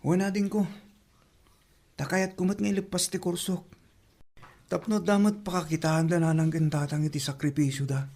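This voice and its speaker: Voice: mid-range voice, flat delivery, normal speed, long pauses, mellow, close to an everyday voice. A performer with an Ilocano accent acting a respectful, responsible teenage elder brother in an audio drama. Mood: earnest.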